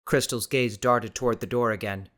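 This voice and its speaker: A man's voice: mid-range voice, fairly even intonation, moderate pace, fairly bright tone. A man reading scripted, dramatic story narration as an audiobook narrator.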